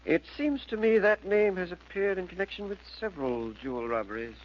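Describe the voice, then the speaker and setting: An actor playing a shrewd, suspicious, insinuating insurance investigator in 1940s radio style. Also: male voice, high voice, lively intonation, fairly quick. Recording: dull old radio recording, faint noise.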